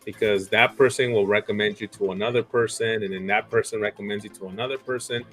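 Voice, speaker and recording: A man in his forties chats as a guest in a loose, easygoing way, his thoughts often coming out in starts and stops. His voice is on the low side, with soft music faintly behind him. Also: quick pace. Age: 40s. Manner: relaxed, many fillers and restarts. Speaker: guest reseller. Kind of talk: casual chat.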